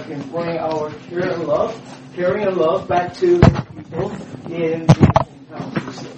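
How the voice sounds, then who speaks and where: A man introducing a church speaker and leading prayer, halting with frequent filler pauses. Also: a little high. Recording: echoey, distant, noisy room mic.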